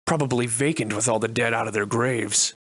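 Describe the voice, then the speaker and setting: A man playing a sardonic, defiant old-fashioned gentleman adventurer in a video game, speaking in florid, archaic, theatrical phrases. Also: mid-range voice, a little fast, dry recording, lightly acted. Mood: wry, musing. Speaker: voice actor.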